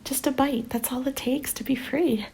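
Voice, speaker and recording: A woman playing a desperate, unhinged woman who longs to join the undead, coaxing in a breathy whisper in an ASMR roleplay. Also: sits high, fairly even intonation, normal speed, darkish tone, slight background noise, pitched above the performer's own voice. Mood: eerie pleading.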